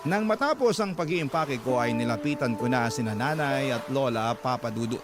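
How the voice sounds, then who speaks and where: Filipino male narrator voiced by an actor, medium pitch, reflective first-person storytelling for radio drama, prominent music underneath. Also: lively intonation, moderate pace, natural voice. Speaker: letter-sender narrator.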